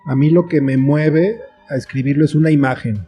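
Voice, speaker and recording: Mellow, dark-toned man explaining. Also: on the high side, steady tone, brisk, faint music behind. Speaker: guest, children's book author and screenwriter. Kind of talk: conversational interview, explaining with examples.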